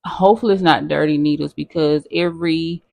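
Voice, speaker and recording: A nurse chatting casually with her viewers in a how-to vlog, talking straight to them as y'all, her voice hoarse. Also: deep voice, steady tone, a little fast, mellow. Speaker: nurse sharing her own experience.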